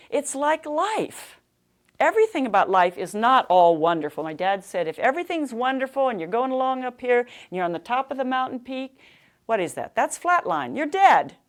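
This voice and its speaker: An older American woman with a loud, projecting voice, giving an author's talk and reading in an emphatic storytelling manner. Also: on the high side. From Nebraska, United States. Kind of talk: public talk with anecdotes and book reading. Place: live talk to a library audience in a room.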